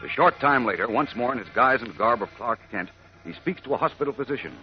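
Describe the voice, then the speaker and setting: A man's voice: medium pitch, expressive, fast. A man narrating a 1940s radio serial in a dramatic, florid announcer style. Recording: muffled vintage radio recording. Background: music.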